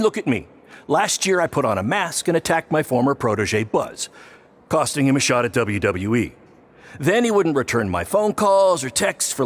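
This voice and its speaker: An actor playing a brash, boastful middle-aged wrestling promoter in a video game, with a hammy salesman manner. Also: mid-range voice. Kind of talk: video game cutscene acting.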